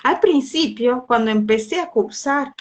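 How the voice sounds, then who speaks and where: A woman's voice: on the high side, lively intonation, measured pace. A woman from Brazil, a native Portuguese speaker, talking as a guest in a casual interview, with frequent laughter and hesitant fillers. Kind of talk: casual conversational storytelling.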